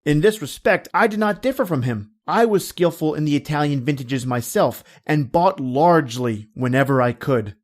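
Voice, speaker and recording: A man playing a cold, cunning, vengeful nobleman who narrates a horror tale in a theatrical, deliberate, formal way. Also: fairly high pitch, animated. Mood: brooding, resolute. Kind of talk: dramatic horror storytelling in old radio manner.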